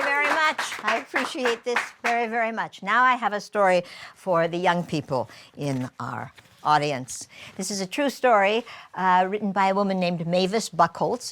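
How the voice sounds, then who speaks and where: A woman's voice: mid-range voice, a bit bright. A woman storyteller speaking informally and reflectively in an earnest way. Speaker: professional storyteller.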